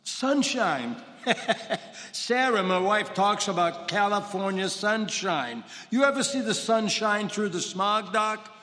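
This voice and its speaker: An actor with a Brooklyn accent playing a gruff, complaining middle-aged cab driver on a church stage. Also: male voice, high voice, lively intonation, takes it slowly, sharp tone, heavily acted. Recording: echoey hall.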